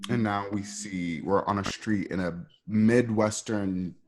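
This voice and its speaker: A man's voice: fairly low pitch, fairly even intonation, measured pace. A man reading scene directions as the narrator of a scripted drama.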